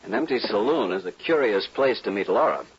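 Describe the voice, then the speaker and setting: A stiff, self-centred gentleman played by a male actor, low-voiced and formal, with lively swings in pitch. It is western radio acting on a dull old recording. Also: fairly quick, slight background noise, close to an everyday voice. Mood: taken aback.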